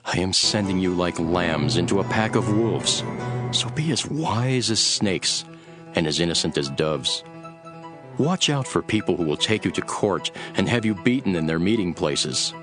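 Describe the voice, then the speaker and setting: A man playing a grave, authoritative teacher instructing his followers in a dramatised scripture reading, with measured, solemn delivery. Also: sits low, animated, music in the background.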